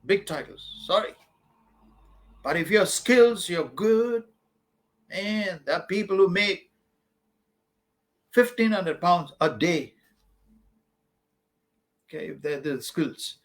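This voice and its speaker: A man's voice: a little high, animated, takes it slowly, long pauses, fairly bright tone. A man acting as a study-abroad advisor, answering student questions in an informal, emphatic way, repeating points and calling listeners "man". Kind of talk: informal Q&A advice talk.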